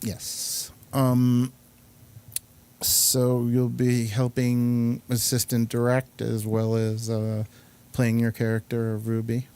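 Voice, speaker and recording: A man hosting a casual interview show, speaking in a halting, hesitant manner. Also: medium pitch, monotone, mellow, clean tone. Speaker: talk show host.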